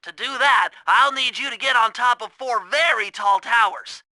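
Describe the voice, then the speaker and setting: Very high-pitched nerdy cartoon turtle genius. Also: male voice, moderate pace, bright, forceful tone, no room sound, full character voice. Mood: earnest, instructive. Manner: precise, fussy, technical briefing delivery. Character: nerdy, anxious young male turtle tech genius. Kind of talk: video game voice acting.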